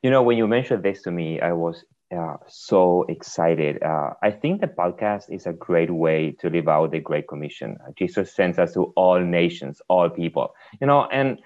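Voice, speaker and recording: Low-voiced Argentine man, slightly dull recording. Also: animated, moderate pace, darkish tone. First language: Spanish. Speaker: priest, podcast guest. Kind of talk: casual conversational interview.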